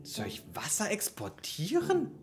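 A man acts out a passive, grumbling village heir in a stage radio comedy. His pitch moves about a lot as he complains, and loud guitar music plays behind him. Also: wildly swooping pitch, a little slow, full character voice. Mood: sullen, grumbling. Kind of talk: improvised comedy radio play. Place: dark curtained theatre stage. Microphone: stand microphone.

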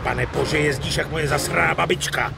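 A brash gangster sidekick in a video game, with a gravelly, slightly high voice. He is blunt and flippant, always wisecracking over the music. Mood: soothing, wry. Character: brash, joking, foul-mouthed gangster sidekick. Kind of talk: dubbed video game drama.

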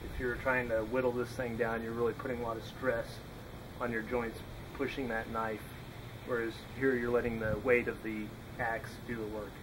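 A young man explaining hatchet woodworking in a relaxed, unhurried way while chopping outdoors in a yard, recorded on the camera. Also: medium pitch, background noise. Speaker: woodworking instructor. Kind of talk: casual how-to demonstration.

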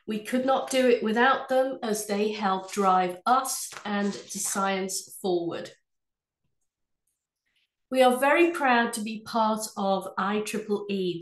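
English woman doing a sales webinar from her office, steady polished delivery, kinda echoey room. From England. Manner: measured, polished sales pitch. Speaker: head of sales presenting a webinar. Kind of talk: scripted product presentation.

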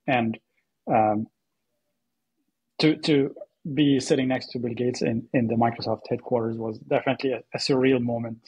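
A man from Syria speaking as a podcast guest in a conversational, halting way, repeating words as he thinks. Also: mid-range voice, fairly even intonation, a little mellow, slightly rough, dry recording, poor audio. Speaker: podcast guest, AI startup co-founder. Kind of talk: conversational interview storytelling.

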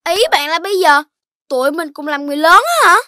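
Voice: high-pitched, fast talker, sharp tone. An actor voicing an eager, playful, outspoken little child in a children's cartoon. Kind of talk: children's animated cartoon voice acting. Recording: music under the voice.

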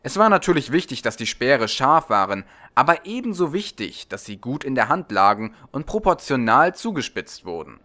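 A man's voice: medium pitch, sing-song, normal speed, few pauses, sharp tone. A man narrating a storybook from a script as an audiobook reader.

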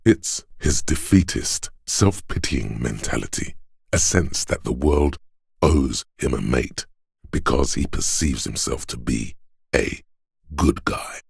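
A man voicing a cold, analytical inner voice in a detective video game, very deep, gravelly and husky, speaking in a measured, detached way. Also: lively intonation, dry recording, studio quality, exaggerated voice. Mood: cold, dismissive. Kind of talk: scripted video game narration.

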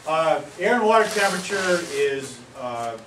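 A man from Maine giving a casual talk outdoors, with many fillers and pauses. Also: fairly high pitch, very animated. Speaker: presenter. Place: outdoor pavilion among palm trees. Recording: roomy, distant, noisy outdoor sound.

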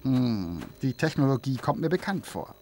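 A man's voice: medium pitch, big pitch swings, normal speed, darkish tone, a little hiss or hum, natural voice. A man voicing a small, polite, analytical robot sidekick in a cartoon game, speaking precisely and formally. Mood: polite, curious. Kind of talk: cartoon video game acting.

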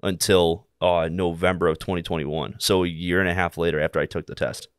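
Young American male, 20s, podcast guest. Very low, smooth voice, rambling anecdotal delivery. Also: little pitch movement, no echo, clean studio sound. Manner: halting, rambling, repeats himself while telling anecdotes. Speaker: podcast guest, air traffic controller. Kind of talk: casual interview storytelling. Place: small indoor room, seated. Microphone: close podcast mic on boom arm.

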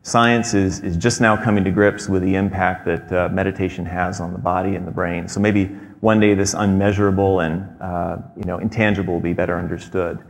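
A man in his thirties giving a prepared talk on a theater stage, measured and explanatory, with frequent fillers. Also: low voice, fairly even intonation, vocal fry. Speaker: presenter. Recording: long hall reverb.

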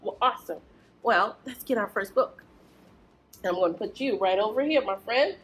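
A woman's voice: mid-range voice, expressive, moderate pace, fairly bright tone. A young woman presenting a preschool storytime, speaking to small children in a singsong, encouraging way. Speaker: children's librarian and storytime host. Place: small indoor children's library corner.

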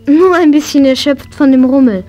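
A woman's voice: high voice, normal speed, mellow, faint music behind, close to an everyday voice. An actress playing a spirited, horse-loving young girl in a German children's audio drama. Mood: earnest, soft.

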